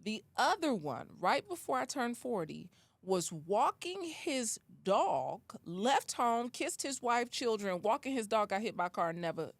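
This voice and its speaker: A woman in her forties hosts a podcast from a studio, her voice fairly high and full of swooping, lively pitch. She talks casually, with playful banter and slangy asides. The recording is close and dry. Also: measured pace, hardly any pauses, dry recording. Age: 40s. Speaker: podcast host. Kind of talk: casual chat.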